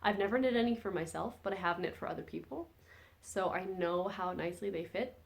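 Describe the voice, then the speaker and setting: A woman's voice: fairly low pitch, fairly quick, gravelly. A woman hosting a podcast in casual chat, rambling and correcting herself as she goes, her voice phlegmy from a lingering cold. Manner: rambling, chatty, self-correcting. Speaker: podcast host. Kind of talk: casual chat about knitting projects.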